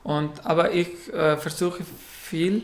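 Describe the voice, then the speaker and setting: A man from Afghanistan with an Afghan accent, whose first language is Pashto, answering interview questions in a halting way with many fillers. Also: on the high side, steady tone, echoey room. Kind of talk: casual interview.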